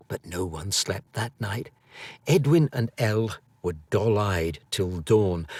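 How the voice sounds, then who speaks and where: A man reads a scripted story with theatrical flair. His fairly low voice takes its time and rises and falls with great variety. The sound is dry. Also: a little mellow, no echo. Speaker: audiobook narrator.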